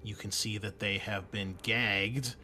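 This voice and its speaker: A man's voice: lowish voice, steady tone, slow pace, bright, forceful tone, slight background noise. A man narrating a tabletop roleplaying game as game master in an informal, conversational way. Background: background music.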